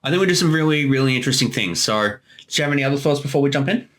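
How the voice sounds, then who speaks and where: A man with a crisp, bright voice of average pitch gives casual director's commentary. He is reflective and explains things in a conversational way. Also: lively intonation, rapid-fire. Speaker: creator giving commentary. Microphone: room mic, no headphones.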